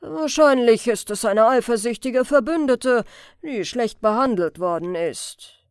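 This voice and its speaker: Pompous male police superior, indignant and condescending, lively swooping delivery female reader acting, dry close sound. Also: a little high, measured pace, clean tone, no room sound. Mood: sneering. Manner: haughty, scolding, emphatic. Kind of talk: audiobook character voice.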